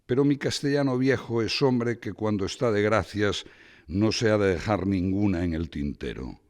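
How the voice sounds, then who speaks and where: A man giving a theatrical reading of a satirical essay, with expressive, dramatic phrasing and ironic asides. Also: on the low side, normal speed. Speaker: reader of a literary essay.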